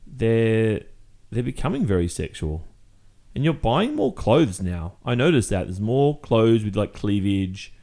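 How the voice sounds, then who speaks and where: Man acting out jealous young boyfriend, Australian accent, deepish pitch, very mellow dark tone, hesitant conversational delivery, fed-up, intimate roleplay audio. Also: sing-song, a little slow, creaky, heavily acted. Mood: uneasy, reproachful.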